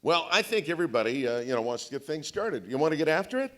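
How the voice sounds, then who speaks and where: A man's voice: a little high, very animated, fast talker. A man hosting a casual live interview and putting questions to his guest.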